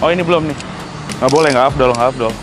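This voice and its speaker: A young man from Indonesia hosting a casual motorbike unboxing vlog, talking in a chatty, excitable way in an outdoor garage yard. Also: medium pitch, fast, over music. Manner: chatty, excitable, swears and laughs. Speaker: motovlog host.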